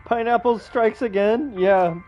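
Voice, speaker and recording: A man's voice: sits high, fairly even intonation, measured pace, soft background music. A man reacting to a game on a livestream in casual, slangy, jokey chat. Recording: dull, slightly lo-fi stream mic.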